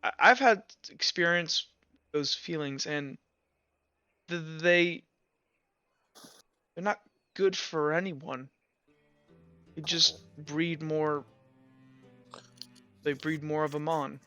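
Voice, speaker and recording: A man voicing a somber, troubled man in a tabletop game, confiding gently in a hesitant, earnest way. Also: fairly high pitch, steady tone, slow pace, long pauses, clean tone, no room sound. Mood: gentle, earnest. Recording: dull, lo-fi with music behind.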